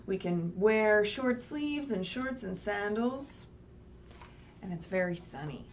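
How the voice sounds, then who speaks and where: A woman's voice: medium pitch, animated, takes it slowly. A woman leading a children's story time, reading aloud in a sing-song way and patiently explaining in simple words with frequent questions to the listeners. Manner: sing-song, asks questions and checks in with "right?". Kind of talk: read-aloud picture book story time for young children. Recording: distant mic, faint background noise, livestream.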